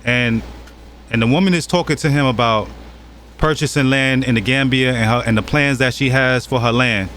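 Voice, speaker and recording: A man's voice: mid-range voice, moderate pace, a bit bright, a little hiss or hum. Mocking American man doing commentary. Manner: mocking, challenging. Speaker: commentary channel host. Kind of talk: reaction commentary.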